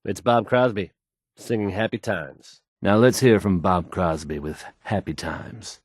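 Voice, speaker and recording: An actor playing a suave, confident male radio DJ, smooth-talking his way through song introductions. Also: low voice, measured pace, no room sound, studio quality.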